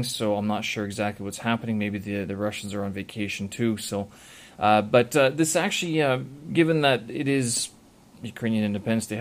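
A man talking casually to camera in a rambling way, with many filler sounds and self-corrections. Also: medium pitch. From Canada. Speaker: vlogger.

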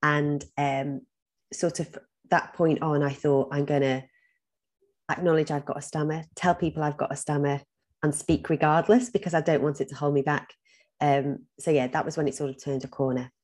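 Low-voiced woman, earnest. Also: fairly even intonation, moderate pace, darkish tone. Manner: earnest, with frequent ums and some repeated words. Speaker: podcast guest. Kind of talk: reflective interview talk.

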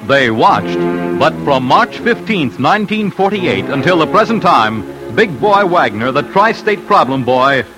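A man's voice: a little high, sing-song, unhurried, over music, rough recording. A man narrating an old-time radio crime documentary in a dramatic 1940s style.

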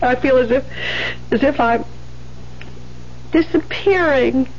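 Needy, frightened wife, high voice, halting and dramatic, vintage radio drama with faint hum. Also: female voice. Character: anxious, needy wife fearing she is vanishing. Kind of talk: 1970s radio drama acting. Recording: dull 1970s radio recording, faint hum.

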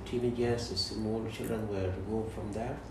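A man in his forties from South Sudan speaks slowly, halting and pausing as he answers. His voice is low and gravelly. He sits back from the mic in an echoey room with clear background noise. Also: flat delivery, distant mic. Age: 40s. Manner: slow, halting, with many pauses. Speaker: guest. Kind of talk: interview conversation.

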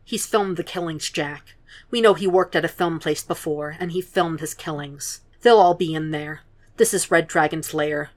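A woman voicing a haunted male investigator who speaks in low, clipped, grim lines. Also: moderate pace, natural voice.

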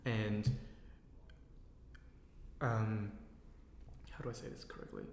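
A man's voice: fairly low pitch, fairly even intonation, slow pace, a little hiss or hum. A man in casual chat, rambling and searching for words with many fillers. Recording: echoey room with long reverb.

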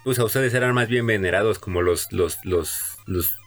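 A man's voice: lowish voice, normal speed, faint music behind. A man co-hosting a podcast, throwing in dry, wisecracking remarks during casual chat. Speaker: podcast co-host.

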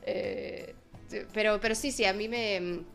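A woman's voice: medium pitch, slow, gravelly, faint music behind. Chatty woman host. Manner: chatty, lively storyteller. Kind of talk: casual chat, storytelling. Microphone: video call.

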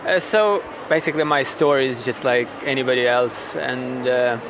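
A man's voice: medium pitch, moderate pace, noisy background. A man from western Ukraine with an Eastern European accent, talking casually and reflectively as a podcast guest. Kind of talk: casual interview.

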